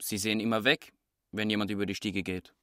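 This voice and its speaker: A man playing an eager, quick-witted nine-year-old boy in a radio play, answering in short, earnest phrases. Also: fairly low pitch, little pitch movement, pushed and bright, clean tone, no echo, clean studio sound. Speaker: neighbour's son. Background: music.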